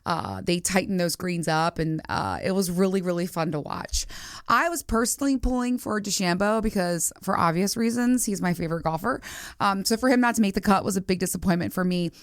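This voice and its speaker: A woman with a slightly low voice talks like a podcast host catching up with friends. Her delivery is chatty and candid, acting out little reactions as she tells stories. The sound is close and dry. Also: fairly quick, dry recording. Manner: animated storytelling, acting out quoted reactions. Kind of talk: casual chatty solo podcast talk.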